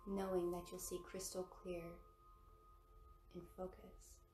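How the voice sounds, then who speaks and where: A woman leading a guided meditation in gentle, measured short phrases. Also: lowish voice, completely flat delivery, a little hiss or hum. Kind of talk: guided meditation, slow and soothing. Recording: roomy, distant mic under loud music. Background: loud meditation music with singing bowls.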